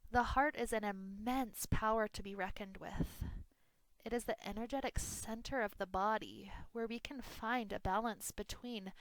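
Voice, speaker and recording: Woman, meditation teacher, soothing delivery, unhurried phrasing with pauses, mid-range pitch, dry close recording. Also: fairly even intonation, dry recording, rough recording. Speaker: yoga nidra meditation teacher. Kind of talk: guided meditation talk.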